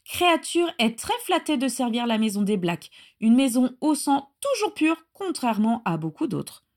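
A woman's voice: a little high, very animated, moderate pace, a little mellow, voice pushed up. Woman putting on a grating, servile male house-elf. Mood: flattered, devoted. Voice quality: grating, creaky. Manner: obsequious, speaks of himself in third person. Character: servile, fawning male house-elf servant, fanatically devoted.

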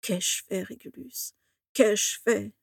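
A woman's voice: medium pitch, measured pace, dry recording, close to an everyday voice. Lady doing a scared old wizard teacher guy from a storybook, shaky stammery voice, really dry sound. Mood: trembling despair. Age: older. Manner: stammering, quavering. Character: flustered, fearful old male wizard professor.

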